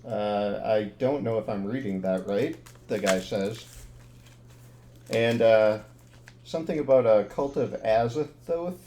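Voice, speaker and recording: A man's voice: on the low side, measured pace, warm tone, a little hiss or hum. A man narrating a tabletop horror game as game master, easygoing and rambling, full of ums and restarts.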